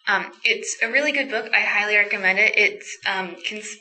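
A woman's voice: mid-range voice, moderate pace, bright, forceful tone, poor audio. A teenage girl giving a short, semi-prepared book review. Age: teen.

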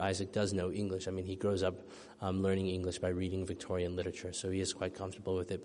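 Middle-aged man, author at a reading, low and even voice with lingering hall echo. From United States. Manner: thoughtful, soft-spoken with many ums and restarts. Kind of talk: reading then answering audience questions. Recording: long hall reverb.

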